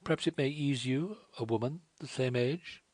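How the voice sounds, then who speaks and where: A man voicing a gentle, kind, well-bred young woman. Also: mid-range voice, normal speed, breathy edge, no echo, pitched above the performer's own voice, lightly acted.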